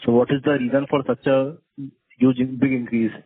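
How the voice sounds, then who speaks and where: Man, Indian accent, husky voice, deferential persistent questioner, thin telephone sound. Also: mid-range voice, little pitch movement, fairly quick. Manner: deferential, persistent, often says sir. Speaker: analyst caller asking questions. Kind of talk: spontaneous conference call questions. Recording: muffled, narrow phone line.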